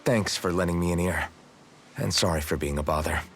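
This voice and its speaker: An actor playing a troubled, earnest young warrior hero in a video game dub, speaking tersely and broodingly. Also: male voice, low voice, a little fast, a bit bright, a little hiss or hum. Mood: subdued, earnest. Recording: game music playing behind. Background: background music.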